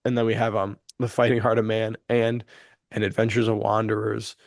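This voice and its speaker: Low-voiced man, dry close sound. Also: fairly quick, vocal fry, dry recording. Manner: earnest, animated wording with slangy "dude" and "like". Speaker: podcast co-host. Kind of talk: casual enthusiastic podcast chat.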